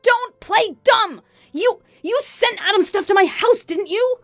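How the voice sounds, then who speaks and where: A woman voicing a shrill, indignant and defensive mistress in a narrated story. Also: sing-song, moderate pace, creaky, no room sound, faint music behind, full character voice. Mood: furious. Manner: shouting, defensive. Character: shrill, indignant, brazen adulterous woman, former best friend.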